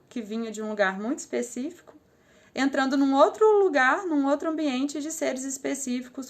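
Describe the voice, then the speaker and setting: Reflective Brazilian woman telling her story. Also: a little high. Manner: thoughtful, rambling, with frequent "assim" and "né" fillers. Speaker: live stream speaker.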